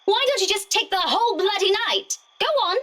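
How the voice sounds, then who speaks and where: A woman's voice: very high-pitched, animated, fairly quick, pushed and bright, exaggerated voice. An actress playing a sardonic, impatient young woman in a horror video game, with a sarcastic, teasing manner. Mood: exasperated, sarcastic.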